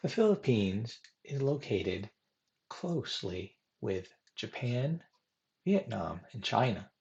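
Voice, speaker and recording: A man presents scripted historical narration in a formal, read-aloud way. His pitch moves animatedly up and down across phrases. A noticeable creak gives the voice a gravelly edge. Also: big pitch swings, slow, a little mellow, dull audio. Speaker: presenter reading a biographical history.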